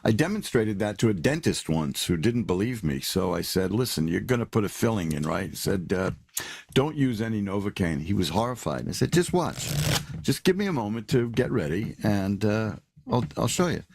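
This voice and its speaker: Older male radio host, deep husky voice, wry and rambling. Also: very animated, moderate pace, a little mellow. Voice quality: raspy. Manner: rambling, repeats words, wry asides. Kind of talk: casual live radio monologue. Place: New York.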